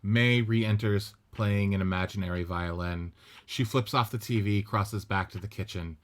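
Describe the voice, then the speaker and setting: A man narrating the stage directions of a script reading in an even, matter-of-fact way. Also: lowish voice, moderate pace.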